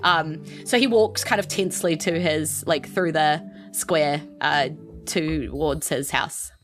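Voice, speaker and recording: A woman's voice: sits low, takes it slowly, soft background music. A woman with a New Zealand accent improvising narration as game master of a tabletop roleplaying game.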